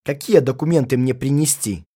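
A man reading out translated phrases one at a time for a language lesson, in a careful, measured way. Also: mid-range voice, dark tone, husky. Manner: gives each phrase as a careful spoken translation. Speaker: translation reader.

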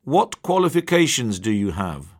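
A man reading English phrases one by one, slowly and distinctly, for a language lesson. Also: mid-range voice, big pitch swings. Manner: reads each word or phrase slowly and distinctly. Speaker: phrase reader.

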